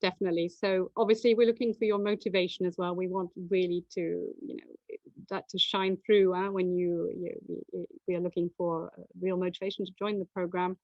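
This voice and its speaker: A woman's voice: fairly low pitch, level tone, brisk, dry recording, highs cut off. A woman hosting an admissions webinar over a video call, presenting slides in a conversational way with many fillers and restarts.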